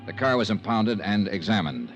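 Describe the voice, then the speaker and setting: A world-weary private investigator tells his case like a report, clipped and matter-of-fact. It is old-time radio detective drama, with music clearly behind the voice. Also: on the low side, sing-song, normal speed, lo-fi sound. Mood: businesslike, dry. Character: brisk, dry, world-weary male insurance investigator. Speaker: insurance investigator and narrator. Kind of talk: 1950s radio detective acting and narration.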